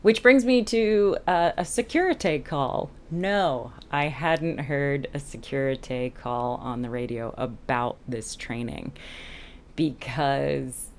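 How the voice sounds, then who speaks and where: A woman talking casually as a boat captain and vlog host, explaining things candidly with self-deprecating, animated asides. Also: very deep voice, slow.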